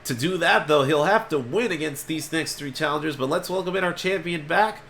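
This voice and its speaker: Congested male game-show host, upbeat, chatty, fairly high voice, faint noise. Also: fairly quick. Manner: energetic, chatty, throat-clearing. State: congested from allergies. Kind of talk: homemade game-show hosting.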